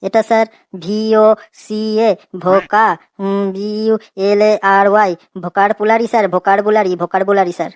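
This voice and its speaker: A woman's voice: mid-range voice, little pitch movement, a little slow, no room sound, natural voice. A woman playing a cheeky, bumbling schoolboy in a comedy cartoon. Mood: eager, unsure. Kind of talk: exaggerated comic cartoon dialogue.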